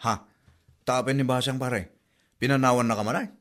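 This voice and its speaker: A man's voice: mid-range voice, steady tone, fairly quick, fairly bright tone, close to an everyday voice. A man with an Ilocano accent voicing Lando, a drinking buddy who teases his friend. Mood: teasing. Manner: teasing, chummy.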